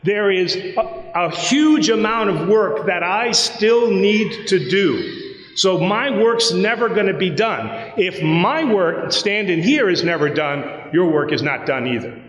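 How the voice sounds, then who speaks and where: A man preaching a sermon as a priest, telling a personal story in a lively, conversational way and acting out the dialogue. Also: high-pitched, takes it slowly, muffled recording. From United States. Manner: animated, conversational, acts out dialogue. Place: church sanctuary. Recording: roomy church with long reverb.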